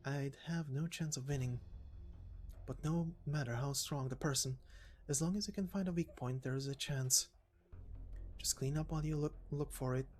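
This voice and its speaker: A woman voicing a quiet, determined young female inmate, speaking her guarded thoughts in a game story reading. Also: very deep voice. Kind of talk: voiced visual novel story reading.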